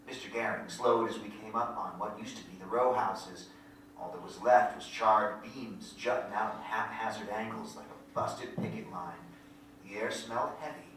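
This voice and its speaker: A man's voice: fairly low pitch, fairly bright tone, lightly acted. An actor playing an earnest country boy who narrates his memories in a lyrical, reflective, folksy way at a staged reading. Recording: echoey hall, distant mic, faint noise.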